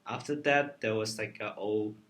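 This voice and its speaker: Hesitant low-voiced man recounting a trip. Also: steady tone, normal speed, fairly bright tone. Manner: hesitant, many fillers and "like"s. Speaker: interviewee. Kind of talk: casual chat.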